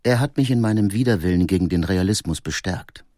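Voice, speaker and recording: An actor playing a brooding, sensitive painter who reads from his diary in an earnest, introspective and deliberate way. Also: sits low, dark tone, dry recording, clean studio sound, lightly acted. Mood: earnest, insistent. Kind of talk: dramatic first-person diary reading.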